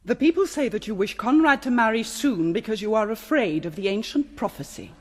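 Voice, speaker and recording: A woman's voice: on the high side, lively intonation, brisk. A woman playing an anxious, imploring noblewoman and mother in a radio drama. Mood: fearful insistence. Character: anxious, pleading noblewoman, protective middle-aged mother.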